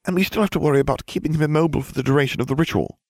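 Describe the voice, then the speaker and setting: A man's voice: very fast, a little mellow, dry recording, studio quality, close to an everyday voice. A man voicing a clever, bossy teenage girl in an audiobook, prim, exasperated and insistent. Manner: insistent, prim, high-pitched. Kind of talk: audiobook character voice.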